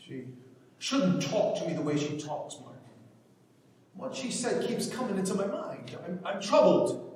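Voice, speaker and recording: An actor playing a troubled, earnest grown son of a farmer, in a staged play reading. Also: male voice, high-pitched, sing-song, normal speed, darkish tone. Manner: earnest, insistent. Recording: very echoey hall, distant microphone.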